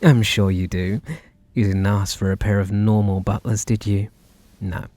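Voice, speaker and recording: A man's voice: on the low side, level tone, normal speed, darkish tone, lightly acted. A man voicing an obsessive, devoted young butler who speaks to the listener in an intimate, possessive and teasing way. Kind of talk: roleplay audio drama to listener.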